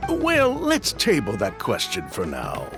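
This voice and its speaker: An actor playing a sly, chuckling male perfume merchant in a video game, smooth-talking and evasive. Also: lowish voice, huge pitch swings, takes it slowly, full character voice. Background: game background music.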